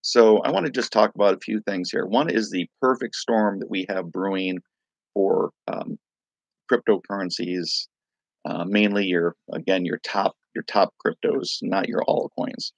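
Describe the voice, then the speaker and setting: A man with a raspy voice from a night of singing, hosting a casual crypto livestream, rambling loosely and greeting viewers by name. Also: low-pitched, fairly even intonation, a little slow, no room sound, poor audio. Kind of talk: casual live-stream chat.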